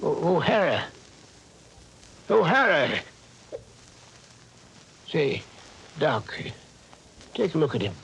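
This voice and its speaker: A man's voice: on the high side, big pitch swings, takes it slowly, vocal fry, long pauses, a bit bright, a little hiss or hum, full character voice, poor audio. A man playing a small-town sheriff who questions a suspect and stammers when shaken, in an old radio horror drama. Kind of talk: 1940s radio acting.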